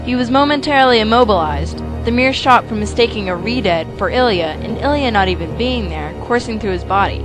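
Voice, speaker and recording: Woman narrator over clear music. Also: medium pitch, rough recording. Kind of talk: scripted dramatic storytelling narration.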